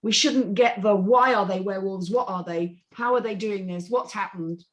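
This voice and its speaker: A woman's voice: medium pitch, moderate pace, slight background noise. A woman on a script reading panel giving an unscripted, emphatic and blunt critique in discussion.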